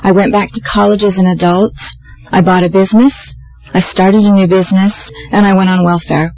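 A soft-voiced woman giving a prepared personal testimony as a panel speaker, steady and measured, listing things one by one. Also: medium pitch, poor audio. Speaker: speaker on a victim empathy panel.

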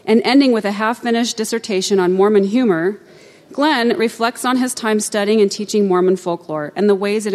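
A woman's voice: medium pitch, a little mellow, long reverb tail. A woman moderating a conference session, reading formal announcements and a speaker introduction from a script. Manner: formal, reads from a script, brisk. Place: conference room.

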